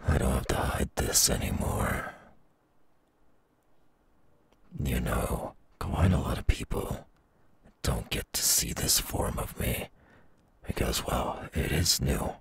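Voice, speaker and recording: Here is a menacing demon villain for an ASMR audio roleplay. His voice is extremely low, rasping with heavy fry and breath. He gloats and threatens at a slow pace, like a predator holding back his hunger. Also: expressive, dry recording, full character voice. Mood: gloating menace. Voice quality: very husky, gravelly, breathy.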